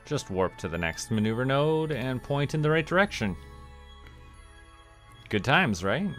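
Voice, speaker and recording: A man's voice: mid-range voice, big pitch swings, measured pace, a bit bright, faint music behind. A man giving casual livestream game commentary, thinking aloud as he goes. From Canada. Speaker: game streamer.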